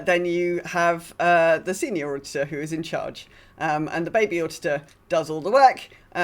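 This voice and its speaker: Woman, podcast co-host chatting casually. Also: low-pitched. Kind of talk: casual chat.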